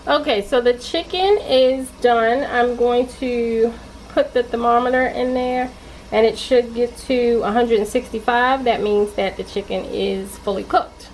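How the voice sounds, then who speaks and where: Slow-talking woman in a home kitchen. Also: a little high, slight background noise, poor audio. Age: adult with kids and a husband. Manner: relaxed, unhurried step-by-step explaining. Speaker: cooking vlogger. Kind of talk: casual cooking narration.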